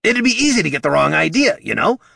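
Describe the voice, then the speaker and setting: A man's voice: a little high, big pitch swings, fast talker, gravelly, sharp tone, husky, dry recording, studio quality, pitched above the performer's own voice, heavily acted. A man voicing a small, scrappy male kobold who rambles nervously and talks himself in circles. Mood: coaxing.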